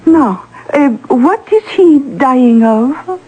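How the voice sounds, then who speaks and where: A woman's voice: high-pitched, expressive, drawn-out words, mellow, exaggerated voice, rough recording. A woman acting in an old-time radio drama, playing a grand, affected society madame who patronises artists and gushes dramatically. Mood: gushing.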